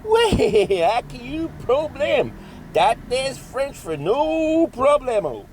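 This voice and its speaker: A man's voice: extremely high pitch, wildly swooping pitch, slow, darkish tone, slight background noise, exaggerated voice. A man acting a cocky, folksy backwoods monster hunter in a comedy horror audio drama, rambling in a drawl with mangled grammar. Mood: breezy, unconcerned.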